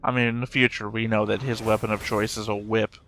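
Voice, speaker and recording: A man casually commenting on an animated show as he watches, with dry, nitpicking asides. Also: fairly low pitch, a little fast, a little hiss or hum. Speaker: reaction show host. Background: soundtrack of the show being watched.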